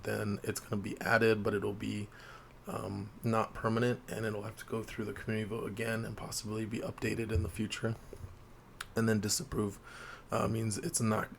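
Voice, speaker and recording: A man with a sore throat and a slightly hoarse voice, hosting a tabletop game video and explaining things quietly to viewers with lots of ums. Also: a little hiss or hum. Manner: quiet, low-key delivery with frequent fillers. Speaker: host of a tabletop game channel. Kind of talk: casual explainer talk to viewers. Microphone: desk mic with gain turned up.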